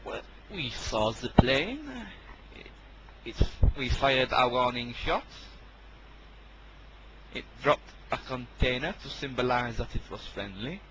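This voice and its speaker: A man's voice: mid-range voice, big pitch swings, slow pace, lo-fi sound, noisy background. A man playing a defiant, scheming military officer in an amateur audio drama, with an insistent manner. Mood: defensive.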